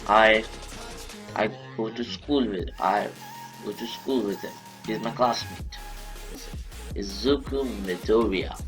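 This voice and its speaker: A young Indian man narrates a fan story in English, his second language after Marathi. His voice sits low, and he stops often to restart and correct himself. Soft music plays under his voice. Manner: halting, many restarts and corrections. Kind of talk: retelling a fan story in plain speech.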